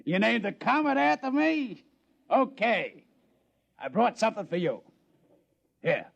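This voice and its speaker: A brash gangster casino boss. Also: male voice, high voice, very animated, a little slow, creaky, no room sound, highs cut off, exaggerated voice. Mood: delighted. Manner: tough, blunt, streetwise talk. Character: brash gangster casino owner on Mars, threatening. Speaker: gambling club boss. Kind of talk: 1950s radio acting.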